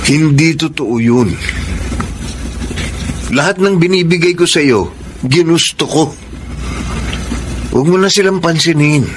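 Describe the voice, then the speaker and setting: An actor with a Filipino accent playing an earnest, sentimental, devoted rich college boyfriend in a radio romance drama. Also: male voice, fairly high pitch, expressive, unhurried, pauses often, background noise. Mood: reassuring. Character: earnest, devoted rich young college boyfriend, narrating his love story.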